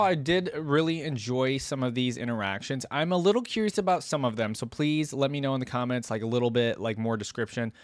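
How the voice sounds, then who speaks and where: A man's voice: mid-range voice, no echo. Chatty young man, dry close mic. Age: young adult. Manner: informal, rambling, chatty. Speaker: YouTube reaction host. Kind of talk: casual reaction commentary to camera. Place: indoor room at a desk. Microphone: close desktop microphone, dry.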